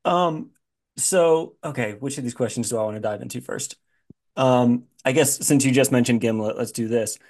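A man hosting a casual interview, asking questions in a halting, think-aloud way. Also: medium pitch, a little mellow. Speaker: podcast host, interviewer.

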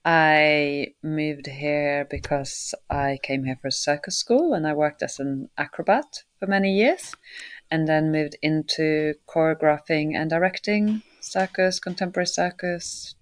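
A woman's voice: deep voice, measured pace, no room sound. A woman from Sweden, speaking as an interview guest, hesitant with many fillers and restarts. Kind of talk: casual interview talk.

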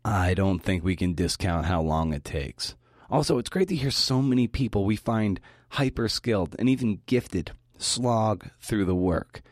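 A man's voice: on the low side, lively intonation, a little slow, dry recording, studio quality. A man giving a prepared talk as a podcast host, speaking informally with the odd bit of swearing. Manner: informal, mildly profane. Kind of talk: prepared talk to fellow writers.

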